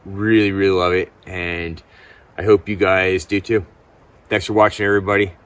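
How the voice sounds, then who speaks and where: A middle-aged man with a low-pitched voice talks casually to camera as he reviews outdoor gear. His delivery is laid-back and informal, sprinkled with keen slang. He is recorded outdoors with noticeable ambient noise around him. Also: level tone, normal speed, a bit bright. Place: outdoors in snowy woods.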